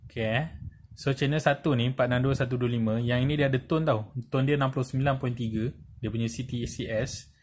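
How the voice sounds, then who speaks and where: A man with a Malaysian accent giving an informal hands-on tutorial, explaining step by step in a halting way with frequent check-in tags. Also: medium pitch, steady tone. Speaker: presenter of a tutorial. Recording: clearly noisy amateur recording with clicks.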